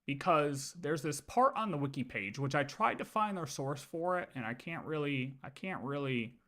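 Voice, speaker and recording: A man's voice: on the high side, animated, normal speed, pushed and bright. A man livestreaming casual game lore commentary, thinking aloud and often repeating his words as he works through ideas.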